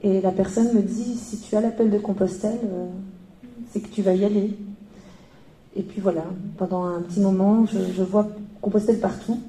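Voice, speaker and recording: A woman's voice: mid-range voice, steady tone, normal speed, dark tone. A young woman from Belgium giving a personal testimony, hesitant with many fillers and a wry humor. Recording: roomy echo.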